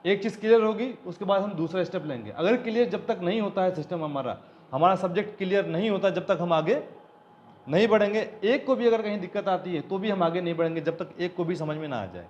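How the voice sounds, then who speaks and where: A man's voice: high-pitched, animated, fast talker, a little mellow. A man teaching a hands-on electronics repair lesson, explaining step by step and asking and answering his own questions. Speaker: automotive electronics trainer. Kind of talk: hands-on technical tutorial. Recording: slightly dull recording, faint background noise.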